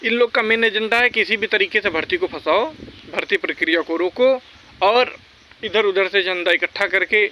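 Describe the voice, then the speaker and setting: A man's voice: high-pitched, bright, forceful tone, slight background noise, poor audio. A man talking informally and with strong opinions straight to his viewers, exhorting them and repeating his points for emphasis. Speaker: vlogger giving recruitment exam updates.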